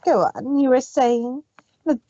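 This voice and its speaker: A woman's voice: high voice, steady tone, measured pace, dark tone, dull audio. A woman voicing a gloating villainous female pony who taunts her victim. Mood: taunting. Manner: taunting, smug. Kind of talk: fan fiction reading.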